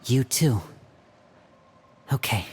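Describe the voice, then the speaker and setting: A man playing a confident, sly teenage magician and protective big brother in a video game, speaking in a smooth, theatrical way. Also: mid-range voice, animated, drawn-out words, a little hiss or hum. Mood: decisive.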